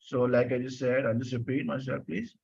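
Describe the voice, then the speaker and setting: A man's voice: mid-range voice, steady tone, fairly quick, creaky, darkish tone, husky. Patient man teaching online, lo-fi audio. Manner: patient, repeats himself, asks students questions. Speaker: French teacher. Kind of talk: online class lecture. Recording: dull lo-fi audio.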